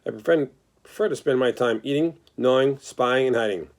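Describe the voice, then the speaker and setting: A man's voice: mid-range voice, normal speed, close to an everyday voice. A man voicing a sour, crafty male rat who speaks slyly. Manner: sour, sly.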